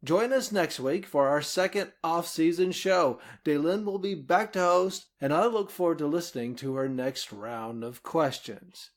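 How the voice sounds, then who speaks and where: A man's voice: on the high side, unhurried, hardly any pauses. A man talking casually in a podcast interview, rambling a little as he thinks aloud. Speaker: podcast host and author being interviewed. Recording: faint background noise.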